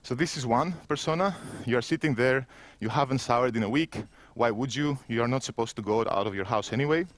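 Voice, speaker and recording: A man's voice: mid-range voice, brisk, hardly any pauses, no room sound. Keynote speaker, man, 30s, Greek accent, conversational and wry, dry close-miked sound. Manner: self-mocking, conversational, with frequent restarts. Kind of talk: conference keynote. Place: empty indoor room, standing.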